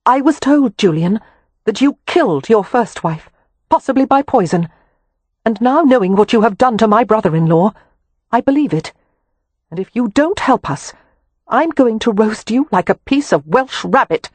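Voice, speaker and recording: A narrator voicing a calm, resolute young woman who delivers threats with composure. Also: mid-range voice, brisk, no echo, close to an everyday voice. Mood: icy. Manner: cold composure, firm threats.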